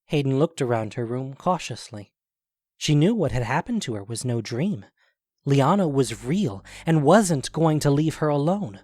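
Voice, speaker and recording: A man narrating a scripted audio drama as a storyteller, in a measured way. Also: medium pitch, sing-song. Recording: loud music behind voice. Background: music.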